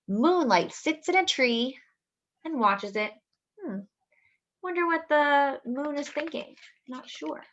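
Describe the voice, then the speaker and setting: A woman hosting story time, reading a picture book aloud to young children in an expressive, sing-song way, with drawn-out phrases and little asides and questions. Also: high voice, dull audio, slight background noise.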